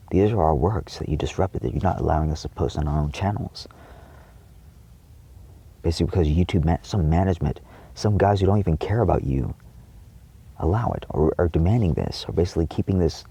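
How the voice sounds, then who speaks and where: A man giving an impassioned, indignant monologue to viewers, emphatic and repetitive, with a gravelly, husky voice. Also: low voice, brisk, long pauses, dark tone. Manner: frequent swearing. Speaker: video creator addressing viewers.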